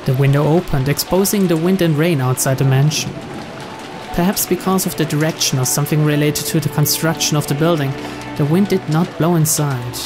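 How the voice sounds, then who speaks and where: A man with a slightly high voice reads story narration aloud for a game playthrough. Clear music plays behind him as he narrates the visual novel. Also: brisk.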